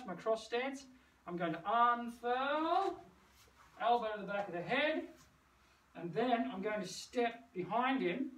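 Male martial arts instructor, distant and echoey. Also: high voice. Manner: playful, punctuating moves with shouted sound words; physically active while talking. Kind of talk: hands-on demonstration, casual teaching. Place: covered brick veranda outdoors. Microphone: camera several metres away. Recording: distant camera mic, roomy, noisy.

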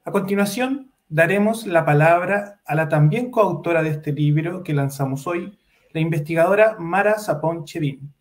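A man's voice: on the high side, normal speed. Formal man reading an introduction. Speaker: event host. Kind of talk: scripted introduction. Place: home office with bookshelf. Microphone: headset on video call.

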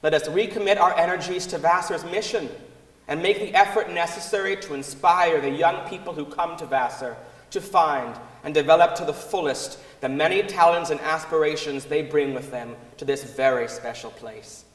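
Distant, high-voiced man reading a speech. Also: moderate pace. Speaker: reader in a staged dramatic reading. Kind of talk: scripted dramatic reading of a speech. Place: large auditorium stage. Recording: echoey hall, distant microphone.

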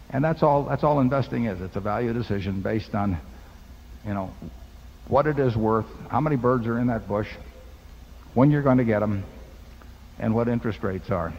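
A man's voice: on the low side, slight background noise. An older man seated at a table microphone on a hall stage, patiently explaining investing to shareholders with a folksy parable and simple arithmetic. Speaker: company chairman answering shareholder questions. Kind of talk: unscripted explanation at a podium. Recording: dull lo-fi hall recording with long reverb.

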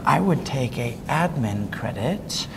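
A man in his forties conducting a conversational business interview, explaining points and checking in with a quick "right?". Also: medium pitch, animated. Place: hotel conference corridor, indoors. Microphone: clip-on wireless lapel mic. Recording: echoey hall, clear background noise.

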